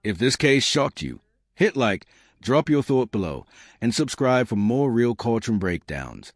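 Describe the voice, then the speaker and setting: A man's voice: mid-range voice, very animated, a little slow, dry recording, clean studio sound. A man narrating scripted, dramatic commentary.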